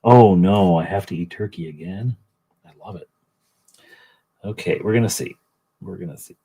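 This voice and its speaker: A man with a deep voice, low in pitch and husky. He chats casually on a livestream and often drops into quiet mutters and whispered asides as he works. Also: moderate pace. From Canada. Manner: soft, muttering to himself, drifting between quiet asides and chat. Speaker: livestream host painting miniatures. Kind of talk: casual chat while painting.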